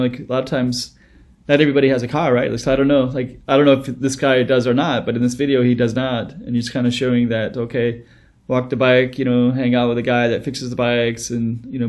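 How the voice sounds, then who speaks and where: A man in his thirties chatting into a close webcam at home, reacting to a music video. His voice sits at an ordinary middle pitch and his talk wanders, full of "um", "like" and false starts. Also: a little mellow. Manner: rambling, with many fillers and restarts. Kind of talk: casual reaction commentary.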